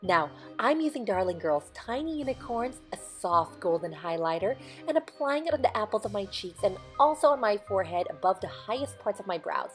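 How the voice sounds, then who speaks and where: A young woman from Indonesia talks through a makeup look in a hoarse, slightly strained voice. Her pitch sits in the middle range, and her delivery is chatty and instructive as she explains each step. Soft music plays faintly behind the close-miked voiceover. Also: sing-song, a little fast, few pauses. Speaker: makeup tutorial creator. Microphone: close voiceover mic.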